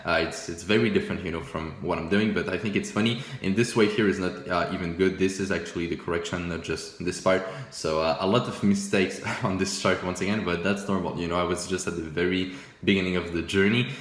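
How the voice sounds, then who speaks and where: A young man with a low voice whose first language is French talks casually over his screen, looking back at old work. He is easygoing and self-deprecating, full of "you know" and "uh". The sound carries a noticeable room echo. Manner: relaxed, self-mocking, many fillers and restarts, chuckles often. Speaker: forex trading YouTuber. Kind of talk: casual screen-share commentary.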